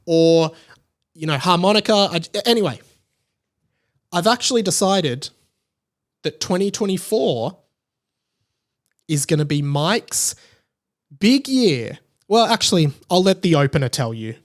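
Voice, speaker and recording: A man's voice: sits high, lively intonation, measured pace, pauses often. A man in his late twenties talking casually and animatedly on a solo podcast, rambling and self-deprecating. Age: late 20s. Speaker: podcast host.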